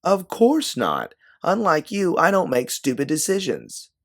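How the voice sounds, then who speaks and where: A man's voice: on the high side, wildly swooping pitch, measured pace, gravelly, voice pushed up, full character voice. A man voicing a blunt, sarcastic, embittered woman time traveler on the run in an audio story. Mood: angry, exasperated.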